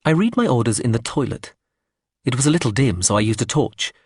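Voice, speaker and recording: A man narrating a first-person story aloud in a measured, wry way. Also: mid-range voice, very animated, a little mellow, breathy edge, no room sound, clean studio sound.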